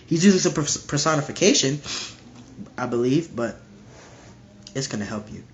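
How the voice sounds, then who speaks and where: A man with a stuffy nose casually reading Bible verses aloud and explaining them in slangy, animated commentary. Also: on the high side, normal speed, a little hiss or hum. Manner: animated, slangy, acts out voices.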